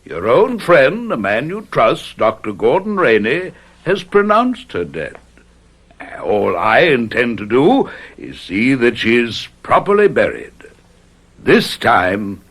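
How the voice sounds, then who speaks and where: Radio drama, male: cold arrogant nobleman villain, piercing and imperious, highly animated delivery. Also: takes it slowly, slightly rough, full character voice. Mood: coldly menacing. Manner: commanding, haughty, sneering. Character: cold, arrogant aristocratic villain, possessive knight.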